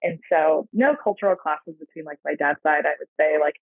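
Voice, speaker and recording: Brief: American woman, podcast guest, gravelly and rambling, dry phone-quality audio. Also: low voice, fairly quick, dry recording. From San Francisco Bay Area, USA. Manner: reflective, rambling, heavy on fillers. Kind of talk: casual interview chat. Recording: tinny phone-like dry line.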